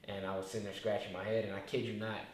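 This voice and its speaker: A man's voice: fairly low pitch, level tone, rapid-fire. A young man in his twenties, a rapper talking through his story in a laid-back, rambling way, with many restarts. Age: 20s. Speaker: rapper, interview guest. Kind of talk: casual reflective interview talk. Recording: very echoey room, faint noise.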